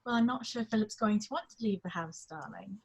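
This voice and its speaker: A woman's voice: medium pitch, a little fast, creaky, husky. A woman playing a sweet, fussing middle-aged mother in an amateur film script table read. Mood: gentle, fussing.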